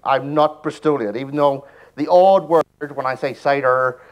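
A man's voice: medium pitch, moderate pace, lo-fi sound. A middle-aged man with an Irish accent gives a conference talk with slides, dropping wry jokes as he goes. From Ireland. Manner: joking, wry asides. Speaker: conference speaker. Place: large presentation hall.

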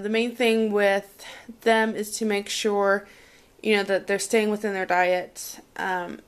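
A woman's voice: medium pitch, clear, resonant tone. A woman vlogger talking casually indoors at home in a rambling, think-aloud way, recorded on a handheld camera. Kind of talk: casual chat, showing a grocery haul. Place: indoors at a home dining table. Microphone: handheld camera microphone. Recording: noisy handheld camera audio with clicks.

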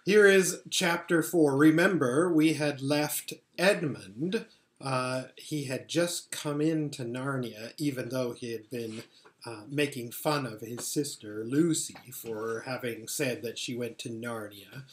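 A middle-aged man narrates a storybook at a leisurely pace. His mid-pitched voice is expressive and measured. The sound has the echo of a living room recording. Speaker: pastor reading books aloud. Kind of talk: storybook read-aloud narration. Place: furnished living room indoors. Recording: roomy home webcam sound.

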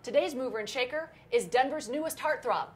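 A woman's voice: high-pitched, lively intonation, fairly quick, slight background noise. A woman hosting a TV interview, asking upbeat questions. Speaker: TV interview host.

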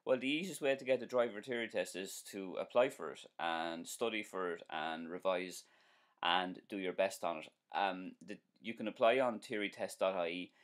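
A man's voice: deep voice, normal speed. A man in his forties with an Irish accent, hosting a live stream as a driving instructor, chatting casually and answering viewer comments with plenty of ums and restarts. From Ireland. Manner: chatty, friendly, repeats himself, many um and uh fillers. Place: small indoor room at home.

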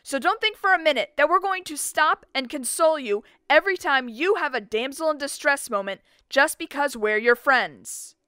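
A woman's voice: sits high, animated, moderate pace, few pauses, bright, forceful tone, no echo, clean studio sound. A woman playing a tough, blunt teenage schoolgirl in a game dub, scolding harshly and with scorn. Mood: cold anger. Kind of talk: dramatic video game dub acting.

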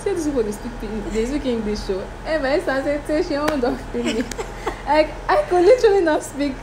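Animated young Nigerian woman telling stories. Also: high-pitched, brisk. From Delta State, Nigeria. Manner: animated storyteller, dramatic quoting, mixes in pidgin. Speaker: guest. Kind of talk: casual chat. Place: indoor room, seated before curtains. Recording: room with air conditioner hum.

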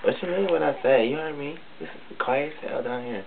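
A man's voice: on the high side, brisk, vocal fry. A man voicing a sassy, flamboyant young male cousin who tells a boastful, tough story in a comedy skit, recorded in a basement. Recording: tinny lo-fi audio with hiss.

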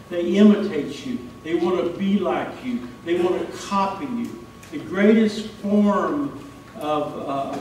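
Slow-paced older American preacher in echoey hall. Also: male voice, a little high, darkish tone. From Texas, United States. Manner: folksy, illustrating with homely stories. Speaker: pastor. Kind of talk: sermon. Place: church stage, indoors. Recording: echoey hall, distant mic, faint noise.

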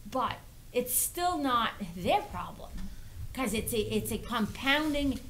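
A woman's voice: fairly high pitch, big pitch swings, slow, a bit bright, poor audio. A middle-aged woman explaining things as a city official in a meeting room, insistently posing questions and answering them. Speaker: city official presenting to a planning board. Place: indoor municipal meeting room. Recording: faint noise, meeting room recording.

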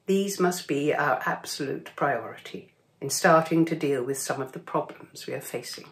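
Older woman, earnest letter reading, lowish voice, close webcam in kitchen. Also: moderate pace. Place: home kitchen.

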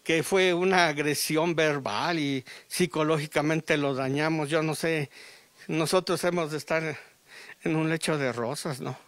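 A middle-aged man with a Mexican Spanish accent, a grieving father speaking in a live news interview outdoors at a funeral, halting with many restarts, into a handheld reporter mic. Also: a little high, steady tone, fairly bright tone, no echo. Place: outdoors under a tent at a funeral.

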